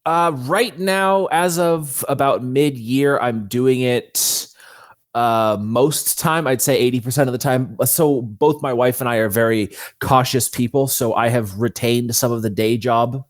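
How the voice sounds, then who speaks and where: A man with a mid-pitched voice talks as an interview guest. He is informal and explains things in a casual, conversational way. The recording is close and dry. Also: a little slow, dry recording. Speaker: guest, fantasy author.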